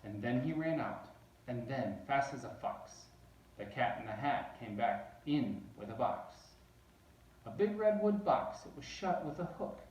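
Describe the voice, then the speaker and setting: A man reading a rhyming children's book aloud in a bouncy, rhythmic, expressive way. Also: medium pitch, measured pace, muffled recording. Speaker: storybook reader. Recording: roomy echo, distant microphone.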